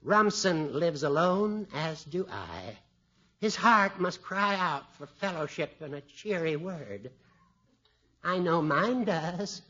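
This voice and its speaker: An actor playing a chipper old small-town judge in a 1950s radio comedy, kindly, teasing and given to flowery oratory. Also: male voice, fairly high pitch, expressive, slow pace, pushed and bright, exaggerated voice. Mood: earnest, florid. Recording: dull old radio recording.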